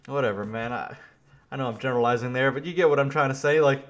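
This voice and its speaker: A man's voice: medium pitch, fast talker. Man ranting about the news. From Louisiana. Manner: animated, laughing, restarts. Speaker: political commentary host. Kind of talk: casual political commentary.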